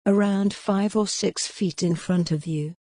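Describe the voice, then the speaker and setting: A woman narrating a guided meditation in a measured, instructional manner, pausing gently between phrases. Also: mid-range voice, steady tone, no room sound.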